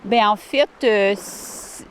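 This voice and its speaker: A woman, answering interview questions warmly and earnestly outdoors by a lake, with many fillers and restarts. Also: medium pitch, extremely slow, slight background noise, low-quality recording. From Ottawa, Canada. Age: 40s. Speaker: village mayor, interviewee.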